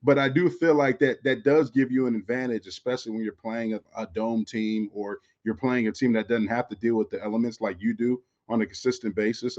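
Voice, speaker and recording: A man's voice: lowish voice, darkish tone, lo-fi sound. An American man from Florida, a former player co-hosting a sports podcast, chats casually and circles back over his phrases with frequent filler tags. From Florida, United States. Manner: conversational, repeats phrases, often says "you know". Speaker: former pro football player, podcast co-host.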